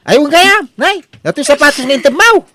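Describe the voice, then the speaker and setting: A man's voice: extremely high pitch, animated, a little fast, a crowd in the background. A man with an Ilocano accent playing a fickle, pleading, brazen young bridegroom in a comedy drama, wheedling and exaggerated. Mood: brazen, agitated. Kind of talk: comedy radio drama acting.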